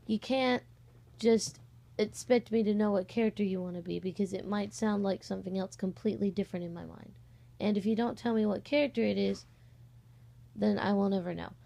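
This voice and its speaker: Creaky-voiced teenage girl rambling casually. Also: medium pitch, steady tone, fairly quick, warm tone. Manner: informal, hesitant and rambling, frequent "like" asides. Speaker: amateur voice-acting channel creator. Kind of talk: casual rambling vlog announcement. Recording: cheap, slightly distorted microphone.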